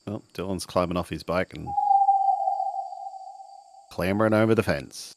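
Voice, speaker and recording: A man's voice: low-pitched, normal speed, heavy background noise. A man improvising casually as a player in a tabletop roleplaying game.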